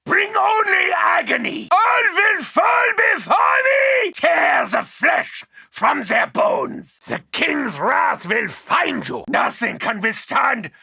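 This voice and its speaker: A menacing mad doctor from a video game, speaking with a German accent in grand, formal phrases. The voice is bright and forceful, often pushed toward a shout, with a gravelly edge. He is arrogant and theatrical throughout. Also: very high voice, big pitch swings, takes it slowly, few pauses, exaggerated voice. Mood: wrathful. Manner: grandiose, formal, precisely worded. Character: arrogant, menacing male mad doctor battling undead hordes.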